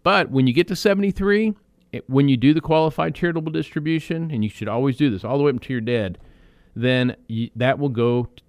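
A man hosting a financial advice radio show, explaining in a conversational way with quick asides and restarts. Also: medium pitch, dry recording.

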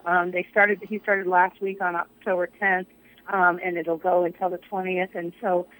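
A woman's voice: lowish voice, little pitch movement, normal speed, fairly bright tone, poor audio. A woman, a college chancellor, talking casually on a radio show with lots of ums while losing her voice. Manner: upbeat, many fillers.

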